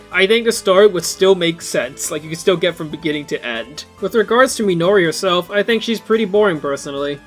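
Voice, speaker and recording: A man's voice: high voice, a bit bright. A young adult man reading a scripted but casual video game review, with wry, informal asides. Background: background music.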